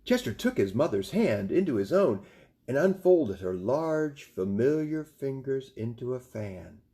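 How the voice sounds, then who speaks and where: A man's voice: medium pitch, big pitch swings, measured pace, mellow. A man in his fifties reading a children's bedtime story expressively, like a grandfather, in a room at home. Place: small home room, indoors.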